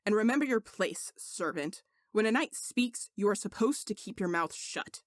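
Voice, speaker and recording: A woman's voice: medium pitch, lively intonation, moderate pace, no room sound, studio quality, close to an everyday voice. A woman voicing an arrogant but earnest male sorcerer knight in a story, speaking in a formal, lecturing way. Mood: haughty, dismissive.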